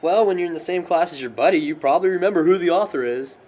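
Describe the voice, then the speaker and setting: A man's voice: a little high, fast talker, darkish tone, natural voice. A young American man acting as a wry, sarcastic, bookish friend in film dialogue. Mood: reminiscing. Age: young adult. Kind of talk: naturalistic amateur film dialogue.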